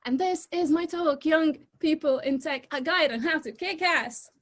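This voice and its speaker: High-voiced young woman giving a talk. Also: slight background noise. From Egypt. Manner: lively, chatty and informal, quick to laugh. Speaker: guest speaker. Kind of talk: presentation talk on a video call. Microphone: video call.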